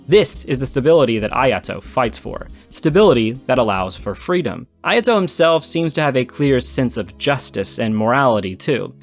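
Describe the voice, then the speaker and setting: A man delivers scripted video-essay commentary in a mid-pitched voice, with music playing underneath. His manner is irreverent and jokey, slipping into casual, sweary asides as he analyses game storytelling. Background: background music.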